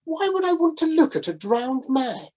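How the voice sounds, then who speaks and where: A woman voicing a stiff, proper gentleman in his late fifties who is easily shocked. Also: high-pitched, very animated, normal speed, voice pushed up. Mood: indignant.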